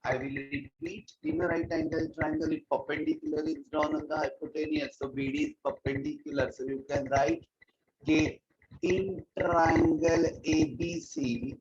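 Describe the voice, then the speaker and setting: An Indian English math teacher gives an online class through an earphone mic. His voice is husky and gravelly. The sound is muffled and lo-fi, and he repeats each point and slips into Hindi now and then. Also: a little high, moderate pace, darkish tone, no room sound. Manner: repeats key points, mixes in Hindi phrases. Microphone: earphones mic on a video call.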